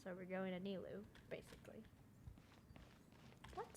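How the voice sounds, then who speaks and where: A woman's voice: medium pitch, normal speed, gravelly. A woman doing casual let's play commentary, chatty and giggly as she reacts aloud to a video game. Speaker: gaming streamer. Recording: loud game audio and noise behind. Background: video game sound.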